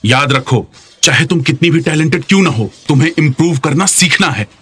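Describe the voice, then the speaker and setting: A man voicing a stern, principled middle-aged coach and father in a dubbed drama, with a husky voice and a firm, instructive manner. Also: on the high side, animated, a little fast, a bit bright. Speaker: martial arts coach and father. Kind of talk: dubbed screen drama dialogue.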